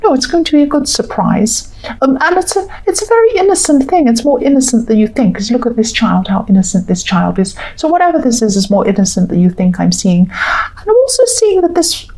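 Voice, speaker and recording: A woman with a croaky voice giving a tarot reading to viewers, talking in a reassuring, repetitive way and thinking aloud with fillers. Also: fairly high pitch, big pitch swings, a little fast, a little mellow, rough recording. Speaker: tarot reader.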